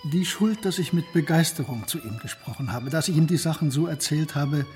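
A man's voice: on the high side, fairly quick, darkish tone, soft background music, lightly acted. An actor playing a brooding, guilt-ridden man in a radio play, speaking in a subdued, hesitant way. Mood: subdued, guilty.

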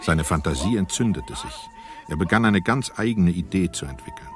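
A man narrating a radio play as a scripted storyteller, measured and wry. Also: deep voice, expressive. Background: music.

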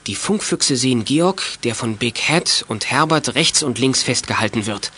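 A man's voice: mid-range voice, lively intonation, moderate pace, pushed and bright, breathy edge. A man narrating a children's detective audio drama in scripted storytelling style.

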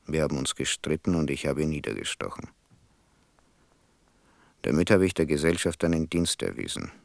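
Very deep, gravelly hardened criminal. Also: male voice, big pitch swings, normal speed, darkish tone, dry recording, full character voice. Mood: grim, cold. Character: hardened ex-convict robber, grimly confessing in a letter. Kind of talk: radio drama letter monologue.

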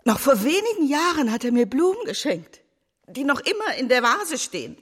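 An actress voicing a tired, anxious mother who speaks softly and coaxingly to her son in a radio play. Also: high voice, sing-song, moderate pace. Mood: wistful. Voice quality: breathy, often whispering. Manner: soft, coaxing, hushed. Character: tired anxious middle-aged mother, gentle.

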